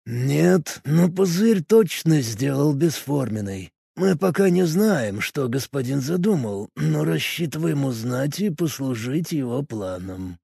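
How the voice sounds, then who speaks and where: A solemn cult zealot, as a male audiobook reader puts him on, murmuring in a gravelly, husky undertone. He talks slowly and quietly, like a sermon, in a dry, close studio sound. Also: big pitch swings, no echo, exaggerated voice. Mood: solemn preaching. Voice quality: low, creaky, husky murmur. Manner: slow, hushed, preaching delivery. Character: fanatical male cult adept, calm, solemn. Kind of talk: audiobook character voice.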